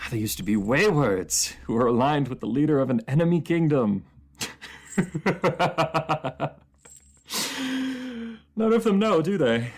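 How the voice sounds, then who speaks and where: A man playing a proud, bitter captive ruler and former mercenary in a fantasy audio drama, speaking formally and sometimes haltingly. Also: medium pitch. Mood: taunting, incredulous. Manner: halting, stammering when flustered, formal fantasy diction.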